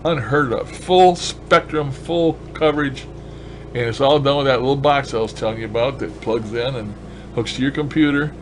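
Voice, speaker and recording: A man's voice: medium pitch, normal speed. Folksy man rambling over background noise. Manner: folksy, colloquial, enthusiastic. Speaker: ham radio hobbyist vlogger. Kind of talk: casual rambling demonstration of a radio gadget.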